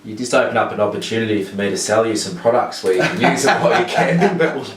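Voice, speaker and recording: A man's voice: lowish voice, animated, racing, a crowd in the background. A man hosting a casual interview, asking questions in a friendly, conversational way. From Australia. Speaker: interviewer, sleep clinic host. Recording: echoey room, mic at a distance, faint background noise.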